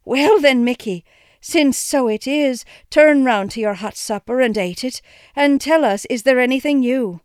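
A reader voicing an anxious, devout farm wife and mother who talks in an excitable, reproachful brogue. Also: high voice, a little slow, clean tone, no room sound, studio quality, pitched above the performer's own voice.

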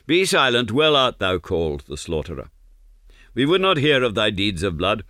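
A commanding storybook adventurer, voiced by a male reader, gives orders in firm, formal, old-fashioned phrases. The tone is bright and forceful, recorded very dry. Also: fairly low pitch, big pitch swings, normal speed, no room sound. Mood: stern, commanding. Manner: firm, archaic formal speech. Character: stern commanding male adventurer, leader, telling his tale.

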